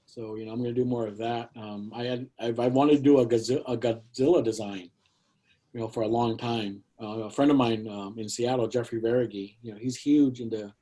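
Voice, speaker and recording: A man's voice: mid-range voice, steady tone, fairly quick, mellow. A middle-aged man talking casually on a video call from his backyard studio shed, rambling with many fillers and restarts. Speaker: guest artist. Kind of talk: casual conversational talk.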